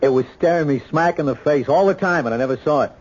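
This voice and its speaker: An actor with an American accent playing an earnest, self-blaming police lieutenant, plain-spoken and sincere, in a radio drama. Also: male voice, a little high, quick pace, natural voice. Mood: explaining, rueful. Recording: dull lo-fi old radio recording.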